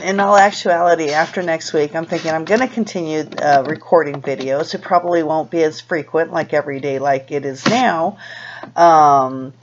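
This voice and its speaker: A woman's voice: deep voice, highs cut off, slight background noise. A middle-aged American woman, a native Spanish speaker raised in Los Angeles, chatting casually and a little hesitantly to camera in a rambling way. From Los Angeles, USA, born in Peru. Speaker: video blogger. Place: small room indoors.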